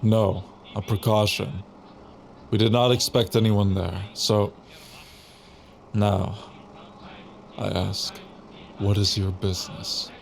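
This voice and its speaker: Man playing a slow, stern captain. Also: on the low side, flat delivery, gravelly. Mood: stern, suspicious. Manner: deliberate, interrogating, authoritative. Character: stern, suspicious East German military captain. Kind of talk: cinematic audio drama acting. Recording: faint vehicle noise behind.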